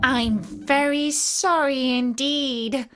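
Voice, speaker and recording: A woman's voice: high voice, steady tone, very slow, very noisy, voice pushed up. A woman voicing a plucky, earnest little girl in a storybook tale, speaking emphatically. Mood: earnest, apologetic.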